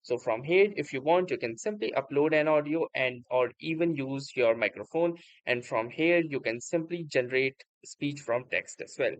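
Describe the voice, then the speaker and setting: A man presenting a casual software tutorial, speaking in a halting, slightly stumbling way with repeated stock phrases. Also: medium pitch, no room sound, rough recording. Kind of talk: casual screen-recording software tutorial narration.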